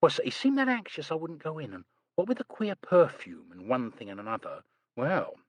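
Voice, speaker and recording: A man's voice: a little high, sing-song, a little fast, dry recording. A male narrator voicing a nervous, chatty young English housemaid who is prim and says sir constantly. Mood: earnest.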